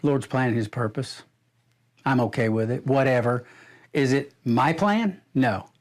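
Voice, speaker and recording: A man answering interview questions in a relaxed, reflective way, speaking plainly. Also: medium pitch, measured pace. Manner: relaxed, laughs easily, reflective.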